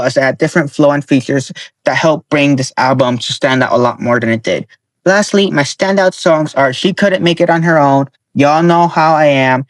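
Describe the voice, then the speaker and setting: A man talks through album reviews with a hoarse, worn-out voice pitched a bit high. He reads quickly from his notes and corrects his own slips. The sound is close and dry, with no room echo. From Nevada, US. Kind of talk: casual scripted review.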